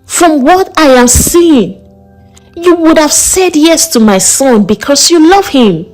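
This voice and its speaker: Woman voicing a warm, high-pitched mother. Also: lively intonation, slow pace, soft background music. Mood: affectionate, coaxing. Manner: coaxing, motherly. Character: warm, reassuring, direct middle-aged Nigerian mother.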